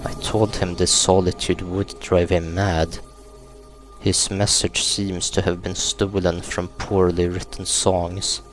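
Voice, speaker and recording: A man reading a horror visual novel aloud in a subdued, unhurried way, as a gaming YouTuber narrating a playthrough. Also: deep voice, flat delivery. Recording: music behind the voice. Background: game music.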